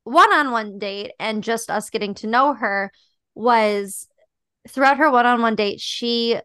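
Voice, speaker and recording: Podcast co-host, young woman, high-pitched voice, reflective casual delivery, dry close sound. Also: a little slow, bright, forceful tone, clean tone, no echo. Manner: thoughtful, reflective, many "like" and "um" fillers. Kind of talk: casual chat about a dating show.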